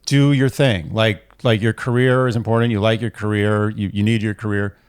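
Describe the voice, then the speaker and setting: A man's voice: on the low side, steady tone, moderate pace, clear, resonant tone. A man co-hosting an advice podcast, talking casually and emphatically, repeating phrases to drive a point home. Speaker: podcast co-host giving advice. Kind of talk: casual, opinionated advice chat. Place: living room, indoors.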